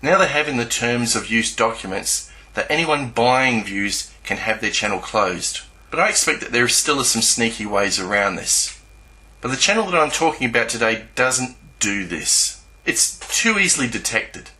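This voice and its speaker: A man giving a scripted explanatory narration in a measured, didactic way, spelling out each step. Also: medium pitch, expressive, pushed and bright, low-quality recording. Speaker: YouTube channel narrator.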